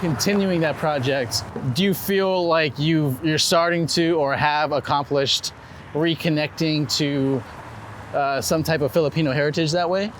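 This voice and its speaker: Slightly high-voiced male interviewer on the street. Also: a little slow. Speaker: show host, interviewer. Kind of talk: casual interview questioning. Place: outdoors in a city. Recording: outdoor city traffic noise. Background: urban ambience, vehicles.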